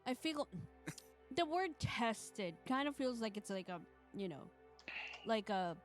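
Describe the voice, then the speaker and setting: Woman reading visual novel story out loud, kinda high voice, soft music behind, chatty streamer. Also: big pitch swings, a little slow. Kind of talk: first-person story narration with casual asides.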